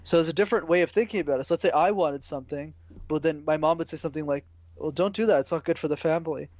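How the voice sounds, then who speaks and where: A man from Canada talking through his answers in a relaxed, casual way, with a bit of slang. His voice sits a touch high, and the audio is dry and muffled, as if from a remote call. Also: rapid-fire, no room sound. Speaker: guest, public speaking coach. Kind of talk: conversational interview answers.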